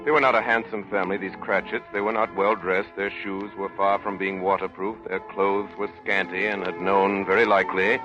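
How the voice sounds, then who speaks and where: A man playing a solemn literary storyteller, narrating a 1930s radio drama in a measured, portentous way. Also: low-pitched, level tone, natural voice. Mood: tender, hushed. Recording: muffled old radio broadcast. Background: loud music.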